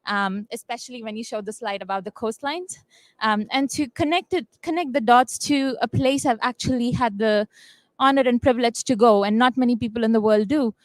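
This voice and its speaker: A woman's voice: a little high, brisk, dry recording. A young woman from India speaking on a panel, hesitant, with many ums and uhs. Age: young adult. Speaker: youth panelist. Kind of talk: panel dialogue.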